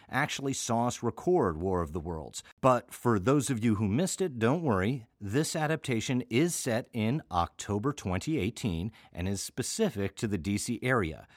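A man's voice: medium pitch, no echo. Chatty American man, dry close recording. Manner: wry, chatty, with playful asides. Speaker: host and artistic director of an audio theater. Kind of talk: conversational podcast introduction to a show's season.

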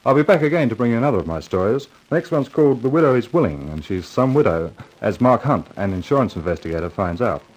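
An actor playing a casual, chummy crime author hosting a 1950s radio mystery. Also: male voice, medium pitch, animated, quick pace, few pauses, lightly acted, rough recording. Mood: relaxed. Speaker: storytelling host signing off a mystery show. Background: music under the voice.

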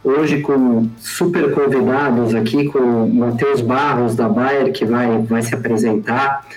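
A Brazilian man hosting a podcast, introducing his guests in a halting way with many fillers. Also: mid-range voice, steady tone, darkish tone, rough recording. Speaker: podcast host. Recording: faint music behind.

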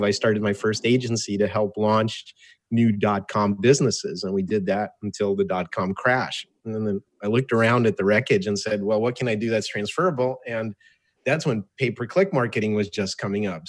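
Low-voiced American man telling his story. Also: normal speed. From born in Soviet Russia, grew up in the United States from age eight. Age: 50s. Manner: conversational, wry, jokey asides. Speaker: interview guest, entrepreneur and author. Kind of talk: casual autobiographical storytelling in an interview.

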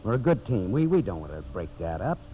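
An actor playing a brash, greedy American lumber boss, pushy and wheedling, in 1950s radio drama style. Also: male voice, fairly low pitch, big pitch swings, quick pace. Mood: pleading, backing down. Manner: pushy, wheedling, blunt salesman's talk. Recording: muffled, noisy 1950s radio recording.

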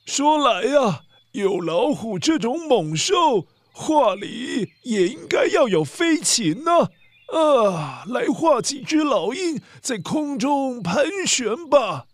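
High-pitched, excitable, fretful rich master. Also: male voice, no room sound, full character voice. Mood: musing, eager. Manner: dramatic, drawn-out, full of sighs and exclamations. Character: excitable, fretful wealthy master who paints animals. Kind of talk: children's audio story acting.

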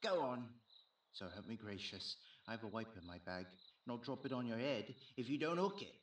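Man acting a gruff old animal keeper. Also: medium pitch, lively intonation, fairly quick, close to an everyday voice. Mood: threatening. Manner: colloquial, working-class turns of speech. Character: gruff, suspicious old animal keeper, rough but kindly underneath. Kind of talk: audio drama acting of Victorian story. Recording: clearly noisy recording.

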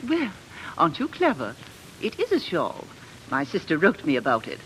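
A gushing aristocratic mother in a vintage radio play. She is genteel and welcoming, with a very animated pitch over faint broadcast noise. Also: moderate pace, low-quality recording. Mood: bright, hospitable. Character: effusive, welcoming middle-aged aristocratic mother. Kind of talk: 1940s radio period drama acting.

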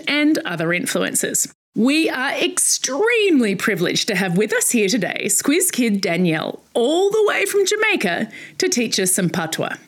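A woman's voice: a little high, fairly bright tone. A woman presenting a scripted educational podcast for children, speaking directly to young listeners with playful asides. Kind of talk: scripted educational narration for kids.

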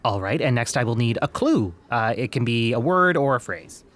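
A man's voice: medium pitch, animated, moderate pace, fairly bright tone, natural voice. A man playing a polite, patient young customer service agent in a comedy audio drama, careful and courteous. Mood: courteous, helpful.

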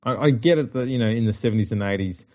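A man's voice: lowish voice, fast, mellow, muffled recording. A man with an Australian accent hosting a casual sports podcast, teasing his co-host and reeling off stats. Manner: joking, teasing, reels off stats.

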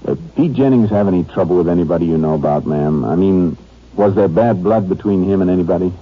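A man playing a calm, persistent Texas Ranger in an old-time radio crime drama, with terse, businesslike questioning. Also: deep voice, quick pace, slightly rough. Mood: businesslike, firm. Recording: tinny, noisy old radio recording.

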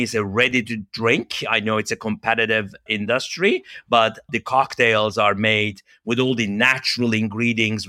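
Podcast guest, male, upbeat, bright tone, pitched a bit low. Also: dry recording, studio quality. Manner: upbeat, many "uh" pauses, nonnative phrasing. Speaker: director of a university hospitality incubator, guest. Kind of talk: podcast interview.

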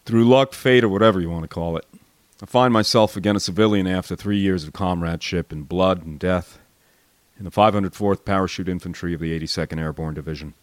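An American man narrating a first-person memoir as an expressive audiobook reader. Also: low voice, a little fast, no room sound. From United States.

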